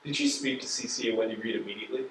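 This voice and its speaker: An actor playing a pressuring, sarcastic postal inspector on stage, questioning a suspect in a deliberate, insinuating manner. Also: male voice, mid-range voice, a bit bright, slight background noise, close to an everyday voice. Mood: probing. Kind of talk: stage play acting. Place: theater stage. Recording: very echoey stage, distant microphone.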